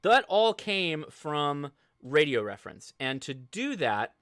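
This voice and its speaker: American man, live show host, casual tutorial delivery, crisp bright voice, very dry close sound, thinking aloud. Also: on the high side, very animated, slow, no room sound. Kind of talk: casual live software walkthrough to viewers.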